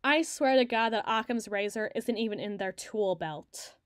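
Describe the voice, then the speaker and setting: Casting: woman performer as wry, bossy female spy for roleplay audio, higher-pitched, wordy and teasing, dry close sound. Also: moderate pace, dry recording, close to an everyday voice. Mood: wry, explanatory. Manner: wry, wordy, teasing, flustered when challenged. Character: sardonic, fast-thinking female secret agent, bossy boss to scientists. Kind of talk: ASMR roleplay audio drama.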